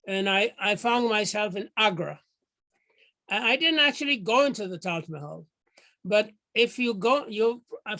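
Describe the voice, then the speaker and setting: An older man from Guyana giving a measured, formal lecture over a video call, often restarting his phrases. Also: high voice, a bit bright, dry recording. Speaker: guest lecturer.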